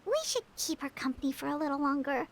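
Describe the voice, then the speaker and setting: An actress voicing a small, chatty fairy companion in a game, talking in an earnest way. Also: female voice, sits high, fast, a little hiss or hum. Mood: gentle. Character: small chatty fairy companion, speaks of herself in third person. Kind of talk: game cutscene voice acting.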